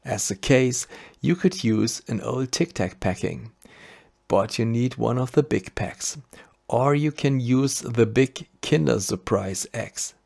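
A man's voice: medium pitch, slow, gravelly, a little mellow. A man with a German accent narrating a scripted electronics tutorial step by step. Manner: methodical step-by-step explaining. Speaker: maker channel host.

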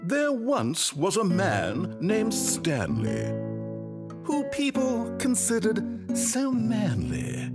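An actor playing a smug, mocking game narrator who teases the player in dry, sarcastic tones. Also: male voice, sits high, full character voice. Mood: sing-song rhyming. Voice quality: dry, teasing delivery with sly sarcasm.

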